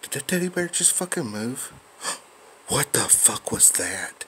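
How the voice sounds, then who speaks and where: A man in his forties filming a ghost-hunting vlog on a handheld phone in a dark basement, talking low and whispering, swearing often. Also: sing-song, unhurried, a little hiss or hum. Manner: hushed, swearing, whispering at times. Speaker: vlogger. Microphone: handheld phone camera.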